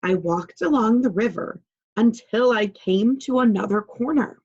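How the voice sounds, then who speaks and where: A woman voicing a friendly frog who calmly and patiently tells a story of his childhood. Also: a little high, measured pace, slightly rough, muffled recording. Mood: reminiscing.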